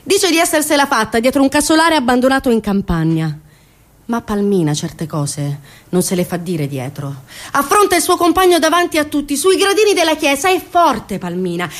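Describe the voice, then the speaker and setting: A woman's voice: high voice, sing-song, moderate pace. Female stage storyteller. Dramatic, impassioned, indignant delivery with big melodic swings and weighted repetition. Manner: impassioned, emphatic, repeats phrases for weight; indignant rhetorical questions to listeners. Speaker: stage actress performing a monologue. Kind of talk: dramatic theatrical storytelling monologue.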